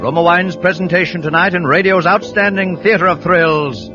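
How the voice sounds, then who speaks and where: Man announcing, formal and grand, high voice, lo-fi, loud music. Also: steady tone, normal speed. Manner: declamatory, formal. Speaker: show announcer. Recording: lo-fi vintage broadcast over loud music.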